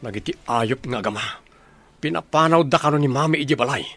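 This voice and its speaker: A young soldier son played by a man in an Ilocano radio drama. His voice is bright and forceful, at a middle pitch. He is blunt, urgent and indignant, protective of his family. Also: fast. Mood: angry, determined.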